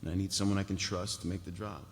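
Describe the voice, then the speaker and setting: A young dealer in a TV drama, slick and cocky, tripping over his words when cornered. His voice is on the low side, heard in a reverberant hall with some noise. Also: fast talker. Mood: uneasy pleading. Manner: stammering, repeats words when flustered. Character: fast-talking, cocky young male drug dealer in a fancy suit. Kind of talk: live table read of a TV drama script. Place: large hall, live festival stage. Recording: reverberant hall, steady background hiss.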